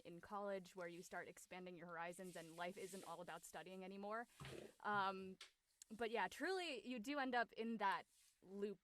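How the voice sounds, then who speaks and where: A woman's voice: medium pitch, a bit bright, a little hiss or hum. A woman in casual discussion, thinking aloud conversationally and often saying "like".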